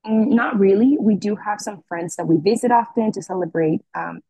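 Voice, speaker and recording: A young woman from the Dominican Republic, answering interview questions in a relaxed way, with many fillers and restarts. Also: medium pitch, moderate pace, slightly rough, no echo, poor audio. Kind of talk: casual conversational interview.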